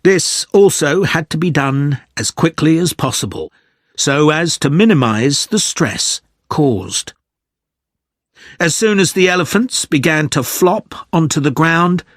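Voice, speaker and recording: A man delivering a scripted academic lecture in a measured, clearly articulated manner. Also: fairly high pitch, dry recording, clean studio sound. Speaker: lecturer in a listening exam recording.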